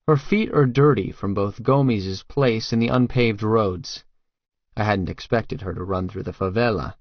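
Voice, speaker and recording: Low-voiced, hard-boiled man acting a brooding tough guy. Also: dry recording, dull audio. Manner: terse, hard-boiled, profane. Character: tough, crude, brooding male hitman narrator, wry and guarded. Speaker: audiobook narrator. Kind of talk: first-person scripted fiction narration.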